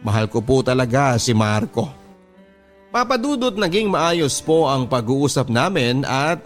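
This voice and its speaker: Filipino man narrating sentimentally over music. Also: medium pitch. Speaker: radio drama host reading a listener's letter. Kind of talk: dramatic first-person letter narration. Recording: music bed behind the voice. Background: background music.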